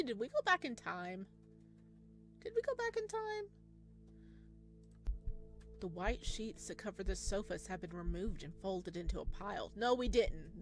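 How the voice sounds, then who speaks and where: A woman's voice: mid-range voice, a bit bright. Woman reading a game aloud over music. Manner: giggly, informal asides between read narration. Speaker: gamer playing and reading a visual novel aloud. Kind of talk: game playthrough, reading aloud with chatty reactions. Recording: music behind the voice.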